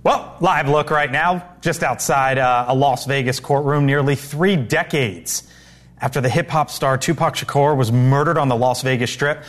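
Guy anchoring the news, a bit high-pitched, brisk and crisp. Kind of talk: news reading.

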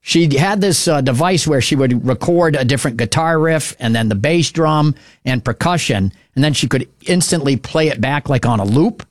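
A man's voice: medium pitch, lively intonation, normal speed, few pauses, dry recording, studio quality. A man hosting a call-in radio show, chatting in a rambling, easygoing way and laughing often. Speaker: radio talk show host.

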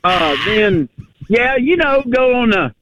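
A man's voice: very high voice, sing-song, takes it slowly, background noise. A man, rambling in casual chat and stuffing his talk with you-knows.

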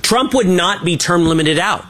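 A man's voice: high-pitched, expressive, moderate pace, fairly bright tone, slight background noise. A man hosting a political talk show, giving opinionated, emphatic commentary with frequent restarts.

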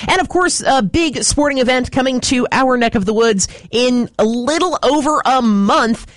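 A woman's voice: sits high, normal speed, dry recording. Radio news co-host, female, first language Ukrainian, chatty, dry studio sound. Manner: lively, chatty. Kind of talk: casual radio news chat. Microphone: studio microphone.

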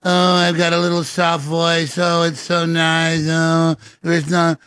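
A man mocking a soft-spoken, falsely gentle man in an exaggerated sing-song way. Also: fairly high pitch, monotone, slow pace, clear, resonant tone, no echo.

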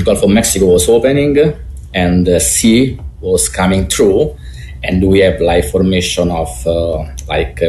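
A man with a thick Italian accent, a native Italian speaker, explaining as an expert guest in a halting, hesitant way. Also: low voice, darkish tone. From Italy. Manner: halting, hesitant delivery with throat clearing. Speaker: geologist and professor, interview guest. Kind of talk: explanatory interview answers. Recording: echoey room with faint noise.

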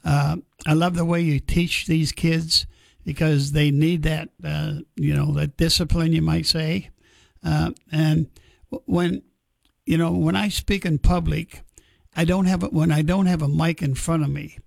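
A man's voice: a little high, level tone, unhurried, vocal fry, dry recording. An older American man hosting a radio interview in a casual, chatty style.

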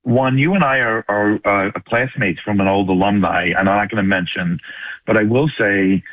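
A man's voice: on the low side, moderate pace, dry recording. An older man with a New York accent, calling in to a radio show to make his point. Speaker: radio phone-in caller, retired firefighter. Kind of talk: making a point on a call-in show. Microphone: telephone. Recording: tinny narrow phone line, dry.

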